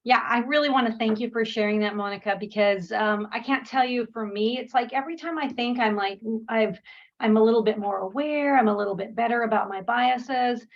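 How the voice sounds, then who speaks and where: A woman's voice: on the high side, brisk, dull audio. A woman presenting a workshop over a video call, encouraging and thankful, with frequent "um"s. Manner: warm, encouraging, many "um"s. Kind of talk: online workshop facilitation.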